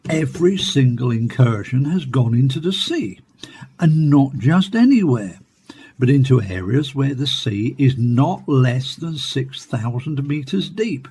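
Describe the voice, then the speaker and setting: A man's voice: medium pitch, sing-song, unhurried, warm tone, a little hiss or hum. An actor playing an earnest, precise university professor explaining his findings emphatically in a radio play interview. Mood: grave, insistent.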